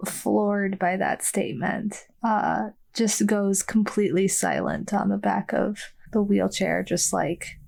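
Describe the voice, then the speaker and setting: A woman's voice: medium pitch, lively intonation, takes it slowly, gravelly, few pauses, darkish tone. A woman casually narrating what her character thinks and does as a player in a tabletop game.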